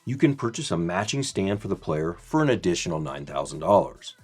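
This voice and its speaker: Male reviewer, 30s, low-pitched voice, measured scripted narration with a formal read. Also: very animated, a little mellow, breathy edge, faint music behind. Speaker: product reviewer.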